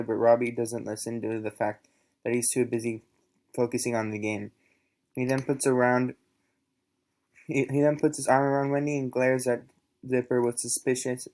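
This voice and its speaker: A man's voice: mid-range voice, a little mellow. A man reviewing a cartoon episode, reading a plot recap with many fillers and stumbling restarts. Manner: halting, many fillers and self-corrections. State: voice recently recovered.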